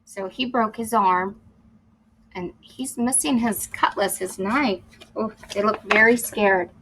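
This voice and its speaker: A woman's voice: mid-range voice, takes it slowly, noisy background. A woman reading a children's story aloud expressively, as a teacher would, explaining to young listeners as she goes.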